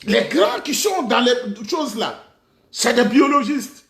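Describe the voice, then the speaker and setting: A middle-aged Ivorian man with an Ivorian French accent and a very high voice delivers a mocking political monologue. His pitch swings widely as he leans on rhetorical questions and bursts of emphasis. The sound carries an echoey room around it. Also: a bit bright. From Côte d'Ivoire. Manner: mocking, rhetorical, with sudden outbursts and laughs. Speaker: political commentator.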